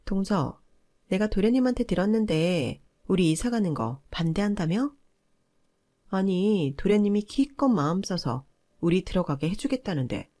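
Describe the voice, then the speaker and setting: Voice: medium pitch, a little mellow, pitched above the performer's own voice, close to an everyday voice. A narrator voicing a pushy, entitled older sister-in-law in a family story, scolding and condescending. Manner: condescending, nagging, argumentative.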